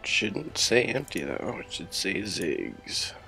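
A man commentating his gameplay while sick, sounding scratchy and rough and talking in a slow, low-key way. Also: huge pitch swings, sharp tone, soft background music.

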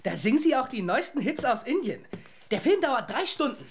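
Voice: high voice, sing-song, brisk, a little hiss or hum. A performer playing an enthusiastic, easygoing young boy in a children's radio play, eager and casual. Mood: excited.

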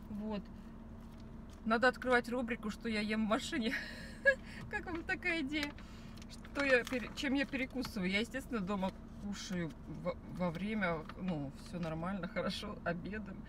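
A woman in her thirties talks to the camera from her parked car, chatty and rambling, full of fillers and restarts. Her pitch sits in the middle of the range. Steady car and street noise runs behind her voice. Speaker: vlogger. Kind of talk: casual chat. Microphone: phone camera on the dashboard.

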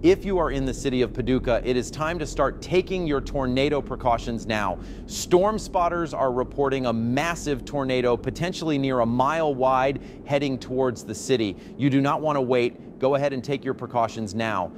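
A man's voice: medium pitch, moderate pace, few pauses, close to an everyday voice. A man playing an urgent, direct TV weatherman giving live storm coverage in a drama scenario. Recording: clear background noise over the voice.

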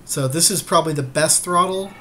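A man's voice: fairly high pitch, a little fast, pushed and bright. A man chatting casually about a game in a laid-back, agreeable, slangy way. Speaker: podcast co-host playing a card game. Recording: faint background noise.

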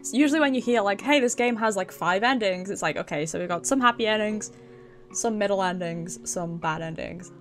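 Female British livestreamer, expressive, sweary and informal, mid-range voice with faint music behind. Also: mid-range voice, fairly quick. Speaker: streamer. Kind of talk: casual livestream chat. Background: background music.

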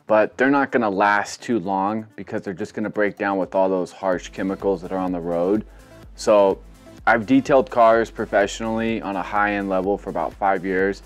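Brief: lower-voiced guy, laid-back how-to vlog narration, faint music under. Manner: relaxed, rambling, filler-heavy explaining. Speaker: car detailing vlogger. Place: outdoor car wash bay.

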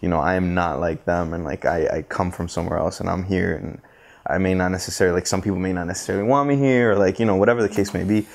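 Deep-voiced young man, halting and thoughtful. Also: fairly even intonation, creaky. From Costa Rica, later United States. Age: 20s. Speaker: interview guest, photographer and visual artist. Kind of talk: reflective interview answers. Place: living room, indoors on a sofa. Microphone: clip-on lapel mic.

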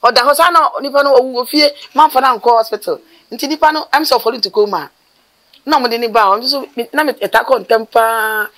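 Middle-aged Ghanaian woman, mortuary worker; rapid, animated storyteller, recorded outdoors on camera. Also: on the high side. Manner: animated, gesturing with her hands, rapid bursts of speech. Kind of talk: storytelling interview. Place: outdoors by a building wall.

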